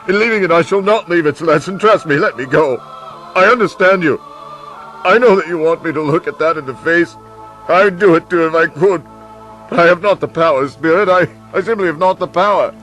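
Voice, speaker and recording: Guy doing an old miser in a radio play, high pitched, theatrical, stammers over his words, faint music under. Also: moderate pace, fairly bright tone, lightly acted. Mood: fearful, pleading. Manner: theatrical, stammering repetitions when agitated. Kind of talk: live radio drama acting.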